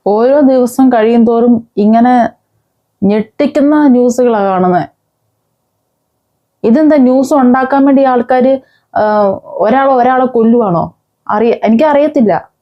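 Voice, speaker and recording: Woman, indignant scolding, fairly high voice, muffled lo-fi recording. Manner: indignant, scolding, with many pauses and restarts. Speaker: vlogger. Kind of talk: angry commentary on a news case.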